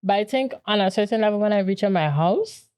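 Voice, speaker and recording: A woman's voice: medium pitch, animated, a little fast, a little mellow, clean tone, dry recording. A woman, talking casually and reflectively as a podcast co-host. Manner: informal, reflective. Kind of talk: casual conversation.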